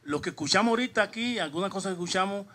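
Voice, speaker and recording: Guy, middle aged reporter, highish voice, asking stuff haltingly at a press conference. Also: lively intonation, fairly bright tone, slight background noise. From Cuba. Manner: halting, repetitive. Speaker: newspaper journalist.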